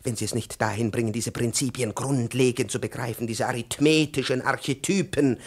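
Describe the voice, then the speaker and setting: A man's voice: medium pitch, fairly even intonation, brisk, husky, lightly acted. Guy acting a stuffy irritable old-school professor, pedantic lecturing, fifties radio drama. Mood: stern lecturing. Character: pompous, irritable male private professor. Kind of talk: 1950s German radio play acting.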